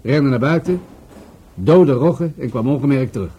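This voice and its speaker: Sceptical police commissioner with a husky, mellow voice. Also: male voice, medium pitch, lively intonation, normal speed. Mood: firm. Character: older police commissioner, sceptical, commanding, war survivor. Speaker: actor in a radio play. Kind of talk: Dutch radio play acting.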